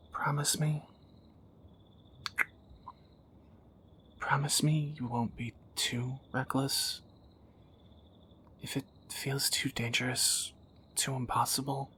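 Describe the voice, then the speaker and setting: A man's voice: medium pitch, sharp tone. A man playing a tender, wry young thief in a romantic audio roleplay, teasing and intimate, often dropping toward a whisper. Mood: tender, pleading. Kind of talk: romantic audio roleplay, one side of a dialogue.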